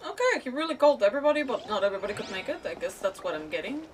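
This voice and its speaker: A woman's voice: medium pitch, big pitch swings, racing, distant mic, slight background noise. A woman streaming a game with casual commentary, thinking aloud hesitantly and repeating words. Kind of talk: casual live gameplay commentary. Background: game music.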